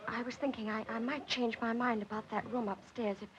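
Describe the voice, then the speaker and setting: A young actress playing a spirited, well-bred young woman with a high, clipped voice and a haughty edge. The old film sound is dull and muffled, with steady hiss behind. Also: brisk, close to an everyday voice. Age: young adult. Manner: crisp, haughty indignation. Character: spirited young upper-class English woman.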